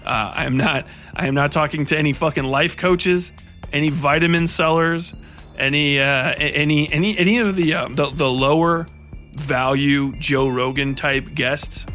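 A man's voice: a little high, normal speed, pushed and bright, faint music behind. A man hosting a podcast, speaking casually and irreverently in a loose, rambling, sweary style. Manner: irreverent, profane, informal. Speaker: podcast host.

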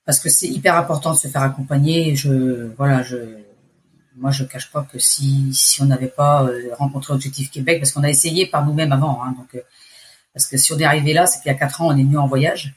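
A woman in her forties with an accent from France, telling her story in a casual interview, chatty and halting. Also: rumbling low, fairly even intonation. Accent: French from France. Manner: chatty, hesitant, restarts phrases. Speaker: expat interviewee.

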